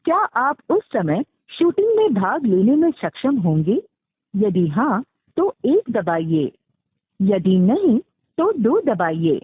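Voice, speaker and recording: Phone-line woman reading slow, formal automated prompts. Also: a little high, breathy edge. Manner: formal, measured, instructional. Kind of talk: scripted IVR prompts. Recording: muffled, phone-like, roomy call playback. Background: phone call recording played back.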